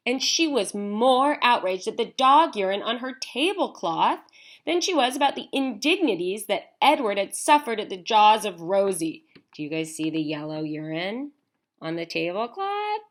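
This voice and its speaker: A woman teacher reading a storybook aloud to children, expressive, with chatty asides. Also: medium pitch, normal speed. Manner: animated, with chatty asides to young listeners.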